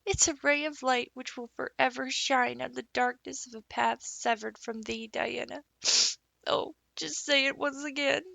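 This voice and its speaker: A woman's voice: sits high, fairly even intonation, unhurried, vocal fry, bright, forceful tone, no room sound, pitched above the performer's own voice, heavily acted. A woman voicing a dramatic, romantic young orphan girl who speaks in flowery, earnest phrases. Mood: heartfelt.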